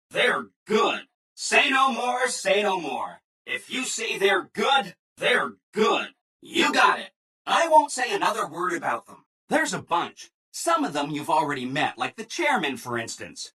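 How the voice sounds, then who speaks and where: Game robot assistant: ingratiating, relentlessly upbeat, lively swooping pitch, slightly husky edge. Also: male voice, heavily acted. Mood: eager, delighted. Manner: chipper, ingratiating, over-enthusiastic, singsong. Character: relentlessly cheerful, eager-to-please robot assistant. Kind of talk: video game character dialogue.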